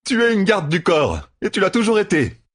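A man's voice: sits high, wildly swooping pitch, moderate pace, husky, no room sound, studio quality, pitched above the performer's own voice, full character voice. A man voicing a cocky, grinning young gambler with glib, exclamatory banter in a fantasy audiobook. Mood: gleeful teasing. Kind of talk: audiobook fantasy character dialogue.

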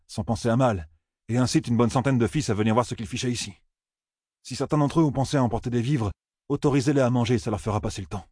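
A man's voice: medium pitch, a little mellow, slightly rough, dry recording, clean studio sound, voice pushed up, lightly acted. A man voicing an earnest young blacksmith who gives orders firmly. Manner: firm, commanding.

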